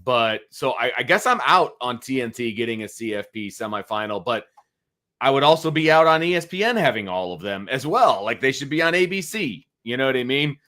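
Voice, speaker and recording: A man's voice: mid-range voice, big pitch swings, brisk, bright, forceful tone. A middle-aged man giving casual, opinionated sports talk as a co-host, emphatically repeating his points. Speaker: sports show co-host, analyst.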